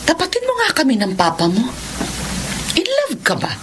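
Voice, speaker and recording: A woman's voice: high voice, wildly swooping pitch, normal speed. An actress playing a nosy, doting middle-aged mother who teases, in a Filipino radio drama with a Filipino accent. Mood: teasing, delighted. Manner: teasing, prying. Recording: noisy radio drama ambience.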